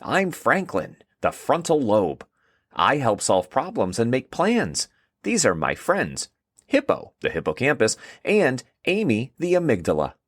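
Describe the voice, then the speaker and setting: A man's voice: mid-range voice, huge pitch swings, a little slow, no echo, clean studio sound, exaggerated voice. A man voicing an upbeat, encouraging brainy frontal lobe character who instructs in a children's story.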